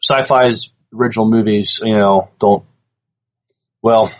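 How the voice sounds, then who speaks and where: A man co-hosting a podcast, reading news items and chatting casually, sometimes haltingly, on a lo-fi recording. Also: on the low side, steady tone. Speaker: podcast co-host. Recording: dull, lo-fi podcast audio.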